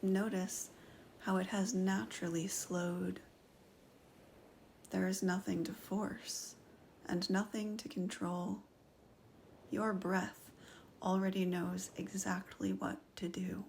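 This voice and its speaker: A woman guides a meditation in a hushed, partly whispered voice, drawing every phrase out very slowly. Her pitch sits mid-range for a woman. A faint ambient hiss lies under the recording. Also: flat delivery, vocal fry. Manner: soft, slow, often whispered.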